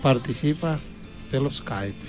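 Priest guy talking real slow, relaxed n jokey, bit of music behind. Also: mid-range voice. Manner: slow, easygoing, good-humored.

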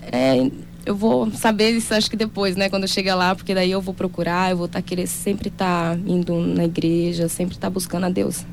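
Woman, guest, soft-spoken, halting, heartfelt, vocal fry, faint background noise. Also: lowish voice, steady tone. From Brazil. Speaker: guest giving a testimony. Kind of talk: emotional personal testimony.

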